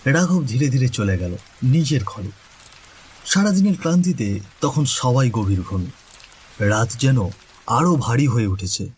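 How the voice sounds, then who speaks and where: A man's voice: mid-range voice, animated, unhurried, pauses often, a little mellow. A man giving a scripted, suspenseful narration of a story. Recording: faint rain noise behind voice. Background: rain ambience.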